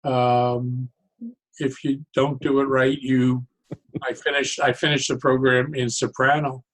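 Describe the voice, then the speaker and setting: An older man speaking as an interview guest, telling stories conversationally in a reflective, halting way with pauses mid-phrase. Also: medium pitch, level tone, rough recording. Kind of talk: conversational storytelling.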